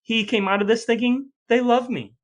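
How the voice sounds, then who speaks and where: A man with an American accent co-hosting a podcast, telling stories in an animated, conversational way. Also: very high-pitched, moderate pace. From United States. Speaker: podcast co-host. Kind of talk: conversational storytelling and discussion.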